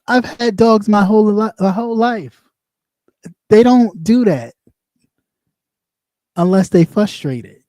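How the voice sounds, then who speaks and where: A man's voice: sits high, slow pace, pauses often, dark tone, no echo. Sassy man with a light voice. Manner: blunt and sassy, talks in loops and repeats himself. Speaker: live stream host; former radio host. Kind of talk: casual rant on a livestream.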